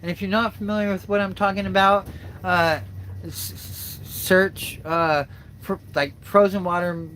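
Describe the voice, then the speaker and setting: A man with a blocked, stuffy nose from a cold leads a spiritual livestream, with a slightly high voice. He talks slowly and haltingly, full of fillers and restarts, and he reads passages aloud in the same unhurried way. A faint background noise sits behind him. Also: level tone, fairly bright tone, poor audio. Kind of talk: slow reflective spiritual talk and reading aloud.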